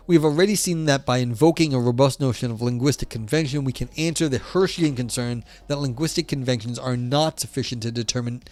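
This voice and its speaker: A man with a scratchy, hoarse voice on a livestream, reading philosophy passages aloud and then commenting casually, swearing and poking fun at himself. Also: mid-range voice, darkish tone, no room sound. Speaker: streamer reading philosophy text.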